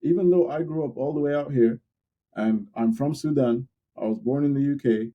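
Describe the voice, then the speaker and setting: A young man co-hosting a podcast, talking in a relaxed, candid way and repeating words while he thinks. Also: mid-range voice, moderate pace, lo-fi sound. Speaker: podcast co-host. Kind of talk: casual podcast discussion.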